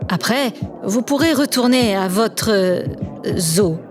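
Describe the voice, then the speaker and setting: A woman's voice: medium pitch, animated, slow, over music. A woman playing a stern, sardonic investigator in an audio drama, restrained and probing. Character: stern, sardonic female investigator, quietly worried.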